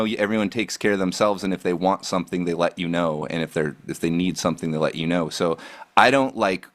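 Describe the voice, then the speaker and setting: A young man with a low voice, loud and assertive, in a casual podcast chat. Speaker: podcast co-host.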